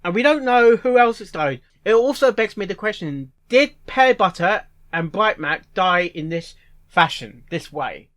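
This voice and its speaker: A man giving casual reaction commentary in a halting way, repeating phrases and musing aloud in questions. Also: high voice, very animated, fairly bright tone. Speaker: reaction video host.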